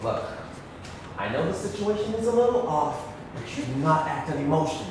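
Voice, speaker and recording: Lively scheming son on echoey stage. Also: male voice, on the high side, normal speed. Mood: calculating. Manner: wry, persuasive. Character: scheming, pragmatic adult son of wealthy businessman. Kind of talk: stage play acting. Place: theatre stage. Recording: echoey theatre, distant mic, noisy.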